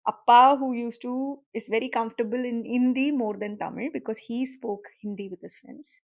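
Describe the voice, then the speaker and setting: A middle-aged woman with an Indian accent talks in a casual podcast chat. Her voice sits a little high, and she speaks in an earnest, reflective way and laughs easily. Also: normal speed, gravelly. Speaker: podcast co-host, mother. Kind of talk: casual conversation.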